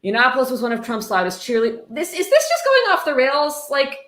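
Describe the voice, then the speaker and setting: A woman's voice: sits high, huge pitch swings, a little fast, a bit bright. A woman hosting a casual livestream, reading passages aloud and commenting in a sarcastic, irreverent way with free swearing. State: tipsy. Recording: roomy, echoey room sound.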